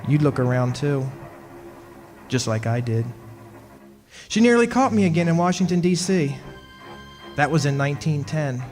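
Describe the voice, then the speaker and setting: A henpecked husband, played by a man confides his woes as in an old radio play. His voice is slightly high, conversational, wry and hesitant. It sounds in a reverberant hall with music behind. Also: lively intonation, normal speed, pauses often, a little mellow, close to an everyday voice. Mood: aggrieved. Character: henpecked, long-lived husband haunted by his witch wife. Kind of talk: old-time radio drama, storytelling monologue. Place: stage performance in a club hall.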